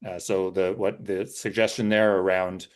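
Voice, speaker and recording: Lowish-voiced guy on a video call panel, careful, restarts a lot. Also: flat delivery. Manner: measured, hedging, frequent restarts.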